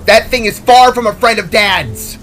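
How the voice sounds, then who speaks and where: A man voicing a worried, bitter young female cousin who explains things haltingly and emphatically in a dramatic story. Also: high voice, fairly bright tone, slight background noise, pitched above the performer's own voice. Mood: alarmed, disapproving.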